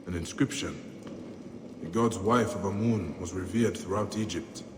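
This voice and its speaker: A man playing a grave, determined investigator of ancient Egyptian tombs in a video game, deliberate and brooding. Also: sits low, background noise, close to an everyday voice.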